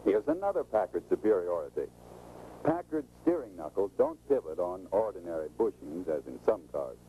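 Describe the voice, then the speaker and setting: Dark-toned 1940s American training film narrator, male. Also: deep voice, big pitch swings, normal speed. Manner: instructive, salesmanlike presentation. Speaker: automotive expert presenting a sales training film. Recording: noisy, muffled 1940s film soundtrack.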